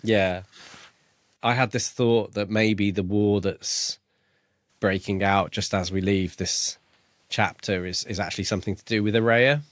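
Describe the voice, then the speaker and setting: A podcast co-host, this man has a fairly deep voice, recorded dry and close. He talks casually about books, feeling his way through thoughts in a halting, exploratory manner. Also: steady tone, no room sound. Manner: tentative, musing, halting delivery. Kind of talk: casual book discussion.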